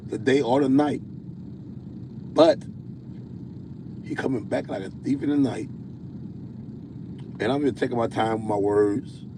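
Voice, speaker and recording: A man with a deep voice preaching on a livestream. He reads scripture slowly, stumbling and repeating words. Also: noisy background. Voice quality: self-described deep voice. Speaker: self-styled prophet hosting a livestream.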